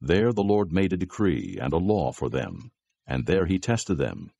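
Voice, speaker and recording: A man with an extremely deep voice narrates scripture in measured storytelling style. His tone is gravelly and husky, as a scripted narrator. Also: very animated, mellow, dry recording, lo-fi sound.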